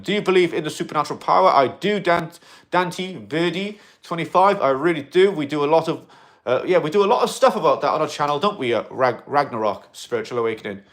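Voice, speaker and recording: Excitable rambling man on a livestream. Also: a little high. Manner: rambling and excitable, with many fillers and restarts; loud bursts of excitement between quieter asides. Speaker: livestreamer in an alien costume. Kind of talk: casual livestream chat, reading and answering viewer comments.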